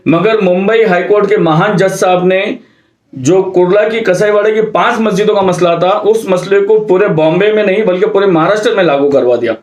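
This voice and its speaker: A man's voice: sits high, fairly quick. A man giving an explanatory public address, speaking emphatically and step by step. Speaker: religious committee secretary. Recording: echoey room.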